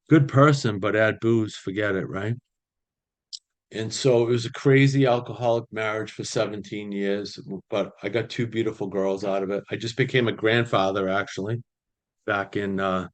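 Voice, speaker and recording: Halting older man. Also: lowish voice. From Boston area, US. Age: 60s. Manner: halting, many ums and you-knows, restarts. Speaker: speaker at a recovery meeting. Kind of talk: personal recovery share. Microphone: video call.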